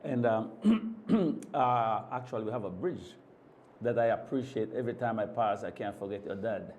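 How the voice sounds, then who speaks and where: Halting, dark-voiced male show host. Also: fairly low pitch, highs cut off. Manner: halting, repeats words, warm welcomes. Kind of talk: live TV morning show chat. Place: TV studio.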